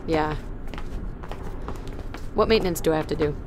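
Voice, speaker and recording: A woman's voice: moderate pace, background noise, lightly acted. A woman playing a tired, guarded ship engineer who gives short, dry replies. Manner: dry, low-key, curt replies.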